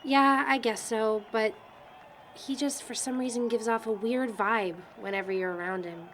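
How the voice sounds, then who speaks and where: A woman's voice: on the high side, fairly even intonation, moderate pace, a bit bright, a little hiss or hum. A young woman playing a hesitant, wry character in an audio drama. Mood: thoughtful, uneasy. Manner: hesitant, searching for words.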